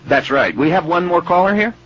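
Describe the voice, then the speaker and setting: A man's voice: high voice, lively intonation, fairly quick, a bit bright. A man hosting a live call-in radio interview in a conversational style. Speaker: radio talk show host. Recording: dull, noisy old radio broadcast tape.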